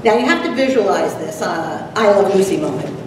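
A woman's voice: medium pitch, expressive, brisk. Older woman storytelling in an echoey room. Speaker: event co-host and dramatic reader. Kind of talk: prepared storytelling to an audience. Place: lecture room, standing at a lectern. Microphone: far camera microphone. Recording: echoey room from far off, noisy.

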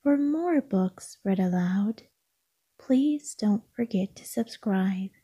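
A woman reading a children's picture book aloud as narrator, in a calming, gentle, hushed bedtime-story manner. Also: mid-range voice, takes it slowly, slightly rough.

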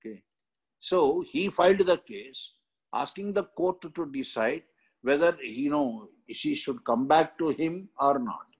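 An older man with an Indian accent lecturing on exam questions in a halting, repetitive way with frequent tags. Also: on the high side, animated, breathy edge, low-quality recording. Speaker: exam coaching teacher. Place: indoor room, seated.

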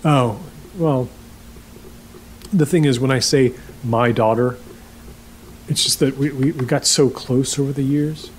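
An actor playing a lonely, awkward middle-aged rental host who rambles haltingly and repeats himself in a naturalistic audio drama. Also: male voice, mid-range voice, steady tone, slight background noise, close to an everyday voice, rough recording. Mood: subdued, halting.

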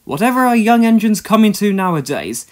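A proud, kindly old steam engine from a children's storybook, acted by a man reading aloud. He is fussy and grandfatherly, with old-fashioned phrasing and a very animated pitch. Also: fairly quick. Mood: stern warning.

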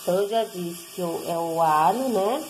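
A woman's voice: lowish voice, normal speed, noisy background. A Brazilian woman explaining a recipe step by step at her home kitchen stove, filming one-handed with her phone and checking in with viewers constantly. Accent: Brazilian Portuguese. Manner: chatty, explains step by step, frequent tag questions. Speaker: home cook vlogger. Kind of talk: casual cooking tutorial. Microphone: handheld phone camera.

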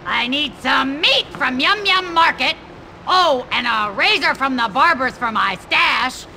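A woman playing a gruff, slovenly middle-aged school cook in a video game, coarse and cackling. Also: high voice, animated, a little slow, pushed and bright, slight background noise, heavily acted. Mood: brash, amused.

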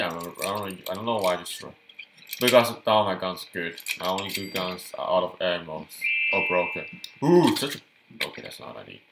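A man's voice: sits low, noisy background. A man giving casual gameplay commentary, chatty and thinking aloud, often repeating words as he goes. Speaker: gameplay youtuber.

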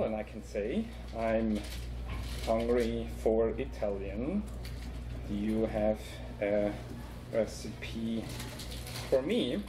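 A man with a Swiss accent walks an audience through a live demo at a conference, stopping often on "um" and explaining step by step. His voice sits at a middle pitch. The hall around him sounds echoey, with a faint hiss underneath. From Switzerland. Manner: relaxed, many ums, explaining step by step. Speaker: conference speaker. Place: conference room. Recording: echoey room with faint noise.

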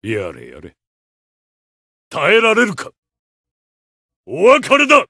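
A haughty, godlike male villain for a fighting game. The voice is fairly high for a man and swings dramatically in pitch, close and dry. He sounds ruthless, commanding and full of contempt. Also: wildly swooping pitch, unhurried, pushed and bright, dry recording, full character voice. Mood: aggressive, shouting. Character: haughty, ruthless male supervillain with god complex. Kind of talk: Japanese fighting game voice acting.

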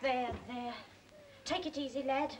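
An actress playing a kindly, cautious village postmistress with an English accent in an old British film. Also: female voice, sits high, fairly even intonation, a little slow. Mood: concerned, soothing. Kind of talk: 1950s British film acting. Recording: noisy old film soundtrack.

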